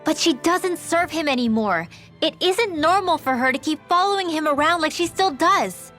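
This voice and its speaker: Snippy teen-ish girl, high voice, blunt, game cutscene w/ faint music. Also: animated, moderate pace, fairly bright tone. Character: sharp-tongued, distrustful young girl. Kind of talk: video game voice acting. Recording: music underneath. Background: background music.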